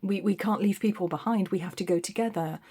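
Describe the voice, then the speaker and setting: Lady doing a tarot reading into her phone, kinda rambly and thoughtful, lots of ums, middle pitch. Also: fast, breathy edge. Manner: meandering and reflective, with frequent ums and soft restarts. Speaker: tarot reader. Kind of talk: intuitive tarot reading to camera.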